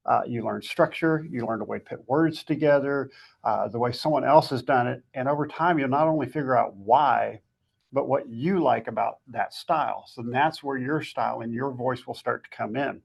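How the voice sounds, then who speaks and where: The husky, heavily creaking man you would hear as guest author at a writers' workshop, laying out advice plainly. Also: mid-range voice, moderate pace. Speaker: guest fiction author giving writing advice. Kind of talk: conversational advice talk.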